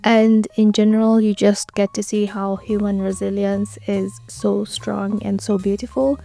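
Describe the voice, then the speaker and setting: A woman's voice: medium pitch, level tone, measured pace, vocal fry, mellow, soft background music. A young woman giving an earnest, enthusiastic book review in a casual, conversational way.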